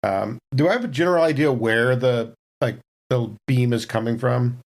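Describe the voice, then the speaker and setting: Man, tabletop player, medium pitch, conversational, improvised narration. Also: normal speed, gravelly. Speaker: player in a tabletop role-playing game.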